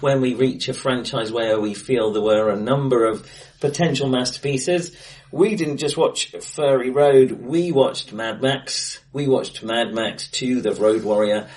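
A man's voice: mid-range voice, far from the mic. A man co-hosting a film podcast in casual chat, hesitant with lots of fillers and laughs. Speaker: podcast co-host. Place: acoustically bright room, recorded face to face. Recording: roomy, off-mic sound.

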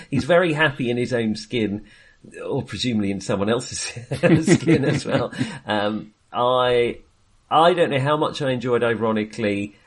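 A man co-hosting a film podcast, talking casually in a halting, rambling, self-deprecating way. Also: mid-range voice.

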